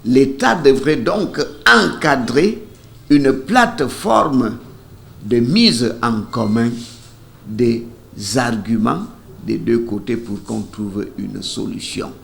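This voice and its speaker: A man's voice: mid-range voice, animated, gravelly. A man explains as an interviewed expert, in an emphatic, professorial manner. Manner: deliberate, emphatic, professorial phrasing. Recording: faint ambient background noise.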